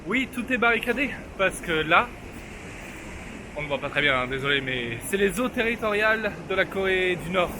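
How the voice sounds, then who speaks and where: A man's voice: high-pitched, expressive, normal speed, background noise. A young man narrating his own travel vlog casually, joking around with slang and poking fun at himself. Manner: joking, slangy, self-mocking. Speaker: travel vlogger.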